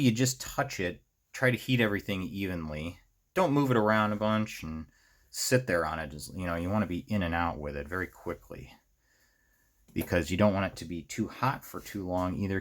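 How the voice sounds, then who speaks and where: American male how-to host, low register, unscripted and rambling, half talking to himself as he works. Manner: halting, rambling, talks to himself while working. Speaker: host of a hobby electronics how-to series. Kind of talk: casual unscripted hands-on tutorial, thinking aloud.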